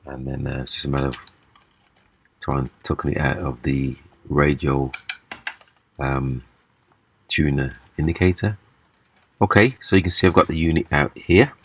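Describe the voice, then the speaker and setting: A man giving a casual, hands-on repair demonstration, explaining haltingly step by step. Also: a bass voice, fairly even intonation, a bit bright, slightly rough. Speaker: repair video presenter. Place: small indoor room at a table.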